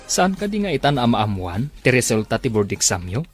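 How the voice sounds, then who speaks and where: A man's voice: medium pitch, sing-song, brisk, slightly rough, no room sound, soft background music. An actor playing a kind, encouraging middle-aged father in a radio drama.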